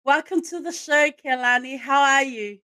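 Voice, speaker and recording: A woman's voice: high-pitched, moderate pace, bright, forceful tone, no echo. A woman hosting a casual interview podcast, enthusiastic and speaking to her guest in a familiar, sisterly way. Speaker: podcast host.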